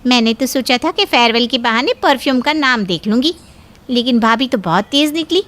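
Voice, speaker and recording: Chatty cartoon girlfriend or sister-in-law female actor, inquisitive, coaxing, high register. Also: lively intonation, a little fast, a bit bright. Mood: coaxing, curious. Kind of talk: cartoon dialogue.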